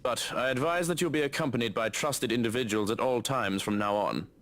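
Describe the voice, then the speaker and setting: A man voicing a calm, stern male butler and bodyguard who speaks in a measured, matter-of-fact way. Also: mid-range voice, close to an everyday voice.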